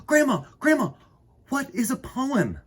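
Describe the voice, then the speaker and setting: A man's voice: very high-pitched, sing-song, measured pace, husky, pitched above the performer's own voice, heavily acted. A man voicing an earnest, curious young boy in a storybook, full of wonder. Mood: eager, curious.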